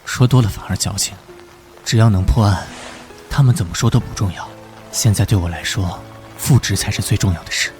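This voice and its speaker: A male actor voicing an earnest, good-natured, easily flustered police detective in an animated drama. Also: on the low side, brisk, over music, natural voice. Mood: earnest, resolute. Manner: conciliatory, earnest.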